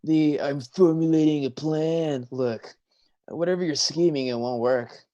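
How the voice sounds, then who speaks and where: Sea god as teasing surfer bro male actor: relaxed, mellow, slangy stage delivery. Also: a little high, measured pace, muffled recording, close to an everyday voice. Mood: needling. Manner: slangy, drawling surfer talk. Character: laid-back surfer-dude sea god, Poseidon, young and teasing. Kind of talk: live staged play reading.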